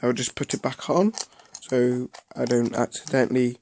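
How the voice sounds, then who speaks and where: A man giving a casual, unscripted product review in a halting way, often repeating himself. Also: medium pitch, fairly even intonation, a little mellow, a little hiss or hum.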